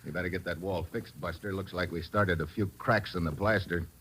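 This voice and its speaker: Tough low-voiced American radio detective. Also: male voice, steady tone, fairly quick, fairly bright tone, slightly rough. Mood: menacing. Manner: hard-boiled, clipped, slangy. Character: tough wisecracking American male investigator narrating his own case. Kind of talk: 1940s-50s American radio detective acting, first-person narration. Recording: old radio recording with music behind.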